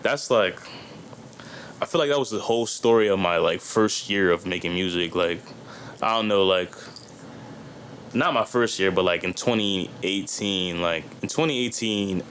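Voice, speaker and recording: A man's voice: low voice, moderate pace, pauses often, a bit bright, slight background noise. A young man, a guest rapper on a podcast, talking in a loose, rambling way full of slang and fillers. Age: young adult. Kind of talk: casual chat.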